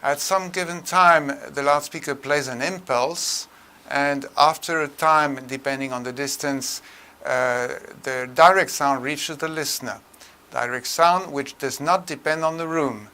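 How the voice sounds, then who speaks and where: Older man lecturing, very bright voice. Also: on the high side, measured pace, smooth. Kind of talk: tutorial lecture on room acoustics.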